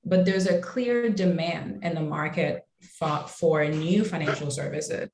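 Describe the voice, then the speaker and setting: A woman from Haiti, speaking as a founder on a webinar panel in a measured, halting way with frequent restarts. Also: sits low, darkish tone. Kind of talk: panel discussion answer. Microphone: webinar call microphone. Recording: roomy echo on a video call.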